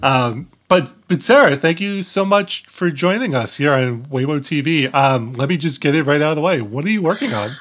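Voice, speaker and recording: A man hosting a casual interview, talking haltingly and repeating words as he gropes for phrasing. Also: fairly high pitch, expressive, fairly bright tone. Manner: halting, stammering word repetitions. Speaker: podcast host.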